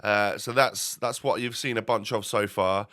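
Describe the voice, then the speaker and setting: Bright-voiced congested man rambling. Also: fairly low pitch, steady tone, moderate pace. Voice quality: nasal, congested. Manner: rambling, many fillers and restarts. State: stuffy, bunged up. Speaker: gaming YouTuber. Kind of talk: casual gameplay commentary.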